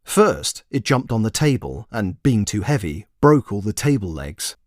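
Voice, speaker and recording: A man's voice: fairly low pitch, very animated, a little slow, dry recording, clean studio sound. A man narrating a story expressively, in the manner of a storybook reading. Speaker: author and narrator.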